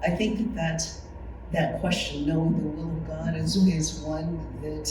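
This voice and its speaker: A man casually and encouragingly moderating a group interview, in a halting way. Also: a little high, little pitch movement. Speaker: interviewer leading a group conversation. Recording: noisy, echoey room, far from mic.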